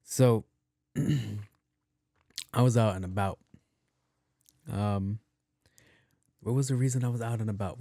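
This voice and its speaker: A young man hosting a podcast in a soft voice, rambling casually with plenty of cursing and restarts. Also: dry recording, clean studio sound. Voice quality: soft, not deep. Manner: loose and profane, with many restarts and repeats. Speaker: podcast host.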